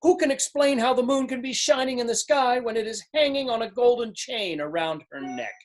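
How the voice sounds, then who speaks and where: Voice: medium pitch, animated, fairly quick, close to an everyday voice. An amateur reader playing an anxious, gloomy, demanding king in an emphatic storybook stage reading. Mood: alarmed. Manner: exasperated, emphatic, mournful.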